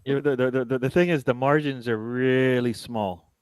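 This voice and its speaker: Male guest, smooth resonant voice, thoughtful fan, dry sound. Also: medium pitch, steady tone, no echo. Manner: measured, stammers on starts. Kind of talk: casual football chat on a live call.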